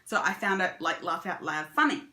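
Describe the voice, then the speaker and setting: A woman's voice: on the low side, animated, brisk, pushed and bright, echoey room. A woman giving a chatty, casual book review as a vlogger. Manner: chatty, conversational.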